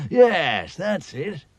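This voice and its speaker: High-pitched, excitable cartoon doctor played by a man. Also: slightly rough, no echo, full character voice. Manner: exclamatory, drawn-out, musing. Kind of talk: children's storybook character voice.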